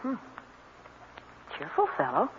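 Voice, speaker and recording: An actress playing a poised, inquisitive society lady and sleuth's companion in an old radio drama, polite and expressive. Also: slow pace, dull audio, natural voice. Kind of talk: 1940s radio acting. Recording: hissy, band-limited old radio recording.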